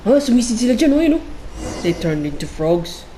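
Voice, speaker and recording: A woman's voice: a little high, sing-song, a little fast, dark tone, slight background noise. A woman with a Filipino accent giving playful, rambling, casual game commentary. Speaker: game streamer. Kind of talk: casual gameplay commentary mixing Tagalog and English.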